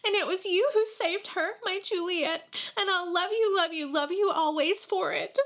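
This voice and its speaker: A woman's voice: normal speed, a bit bright. Woman putting on a sobbing little girl. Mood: tearful. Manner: high, tearful and breathless.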